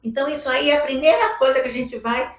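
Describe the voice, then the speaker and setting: A woman's voice: high-pitched, fairly even intonation, fast, roomy sound. A woman with a Brazilian Portuguese accent giving an explanatory conference talk about slides, often adding tag questions. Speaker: education speaker. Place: large conference hall.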